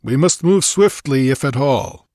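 A man's voice: medium pitch, expressive, measured pace, warm tone, no room sound, studio quality, voice pushed up, lightly acted. A man voicing a large, blunt, hearty and roguish mercenary commander in a fantasy story. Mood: impatient.

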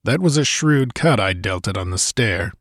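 A man voicing a gruff barbarian warrior who speaks in short, grim grunts. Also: on the low side, expressive, normal speed, fairly bright tone, no echo, studio quality.

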